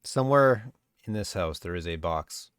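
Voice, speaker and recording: Brief: man, low-pitched, very expressive swings, casual cursing podcast host, dry close mic. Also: normal speed, clear, resonant tone, no echo. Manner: animated, swearing, jumps into mock voices. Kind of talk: casual chat among friends.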